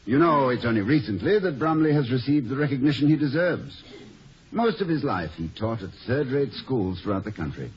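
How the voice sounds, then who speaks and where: An actor playing a genial, fretful college president in a 1950s radio comedy, hesitant and professorial in manner. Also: male voice, mid-range voice, expressive, brisk, slightly rough. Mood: interested. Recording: dull, hissy vintage radio recording.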